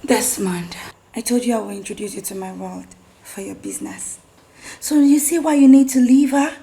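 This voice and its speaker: A woman's voice: a little high, moderate pace, creaky, warm tone, slight background noise. A Nigerian woman acting an entitled, domineering rich young woman in a screen drama, haughty and possessive. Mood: scheming, haughty. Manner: haughty, imperious.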